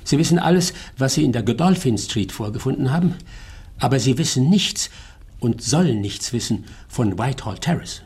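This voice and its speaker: An actor playing a shrewd, composed private detective in a German radio drama, speaking in a measured, formal way. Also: male voice, medium pitch, expressive, a little mellow, husky. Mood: pensive, probing.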